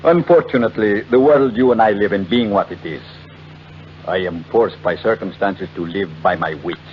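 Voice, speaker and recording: An actor playing a coolly polite, menacing blackmailer in 1940s radio mystery style, with oily, formal, deliberate phrasing. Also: male voice, fairly low pitch, close to an everyday voice. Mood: smugly polite. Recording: muffled old radio recording with noisy hum.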